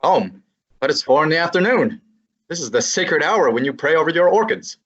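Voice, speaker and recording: A woman playing a breezy, sarcastic young male assistant detective in a student radio drama, with a husky voice. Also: deep voice, lively intonation, moderate pace. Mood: wisecracking. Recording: dull lo-fi recording.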